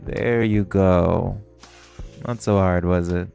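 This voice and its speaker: Man playing a deep, gravelly, cocky male vampire. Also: fairly even intonation, slow pace, mellow, faint music behind, heavily acted. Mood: impatient, teasing. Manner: smug, taunting, drawling, playfully menacing. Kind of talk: intimate roleplay audio drama.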